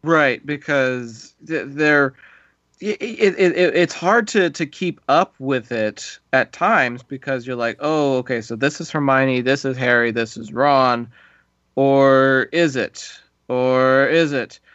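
Guy hosting a movie podcast, chatty and animated, kinda muffled dry mic. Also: mid-range voice, takes it slowly, no echo. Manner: animated, mimics reactions, stammers on restarts. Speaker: podcast host. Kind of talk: casual film discussion.

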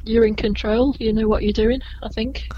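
Chatty woman with a gentle Scouse accent. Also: a little high, steady tone, moderate pace, highs cut off. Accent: gentle Liverpool (Scouse). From Liverpool, England. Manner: chatty, laughs often, trails off with ums. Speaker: tour guide guest. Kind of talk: casual podcast interview.

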